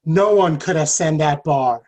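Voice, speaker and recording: A man plays a loyal comrade in arms with a husky voice. He speaks earnestly and consolingly, reading from a stage play. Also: on the high side, unhurried, a little mellow. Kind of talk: virtual table read of a play.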